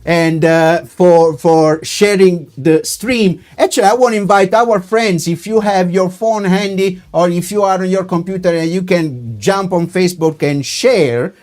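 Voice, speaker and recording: A man's voice: high voice, a little slow, few pauses, darkish tone. A man with an Italian accent, a wine importer hosting a live-streamed tasting, talking in a chatty way with many filler words.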